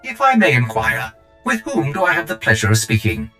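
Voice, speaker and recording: A man's voice: lowish voice, sing-song, a little fast, music in the background. A man playing a fussy, polite receptionist droid in a video game, speaking formally and obsequiously. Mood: unctuous politeness. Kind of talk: video game cutscene acting.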